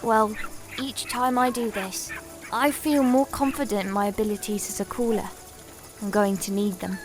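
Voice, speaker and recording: A woman playing a gentle, shy young summoner in a fantasy audio drama with music underneath. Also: medium pitch, fairly even intonation, normal speed, close to an everyday voice. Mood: earnest.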